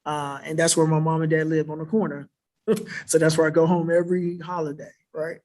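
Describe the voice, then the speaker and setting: Panelist, male, from South Florida, pitched a bit high, earnest explainer who keeps checking in with the room. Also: little pitch movement, brisk, a little mellow. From South Florida, USA. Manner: earnest and explanatory, often adds a questioning "right?" to his points. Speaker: invited panelist answering audience questions. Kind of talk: unscripted panel answer. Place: church worship center.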